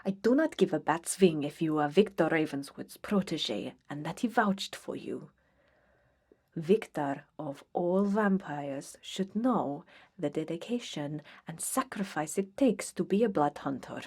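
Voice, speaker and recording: A woman playing a stern, haughty vampire who examines aspiring blood hunters in an ASMR roleplay, curt and imperious, with a breathy voice that drifts into whispers. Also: low voice, animated, measured pace, darkish tone, pitched below the performer's own voice, heavily acted. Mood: stern, displeased.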